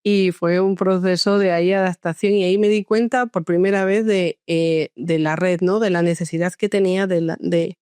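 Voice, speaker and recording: A woman psychologist born in France, telling her story in a relaxed interview with many hesitations and restarts. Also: lowish voice, normal speed, few pauses, clean tone, dry recording. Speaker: guest psychologist. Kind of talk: conversational interview.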